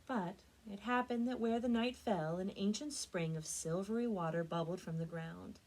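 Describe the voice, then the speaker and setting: A woman's voice: mid-range voice, a little mellow, rough recording. Woman dramatically reading a storybook aloud. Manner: dramatic, deliberate phrasing with strong emphasis. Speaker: librarian reading stories for a library program. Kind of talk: expressive storybook read-aloud.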